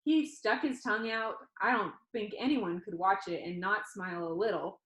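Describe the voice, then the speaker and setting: A woman's voice: medium pitch, moderate pace, a bit bright. A woman reading a first-person novel aloud as narrator. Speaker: audiobook reader. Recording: echoey room.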